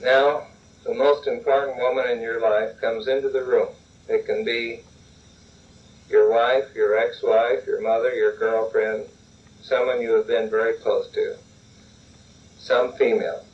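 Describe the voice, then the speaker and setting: A man calmly guiding a relaxation and role-play exercise, repeatedly urging the listener to relax, in a dull lo-fi recording. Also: medium pitch, unhurried, distant mic.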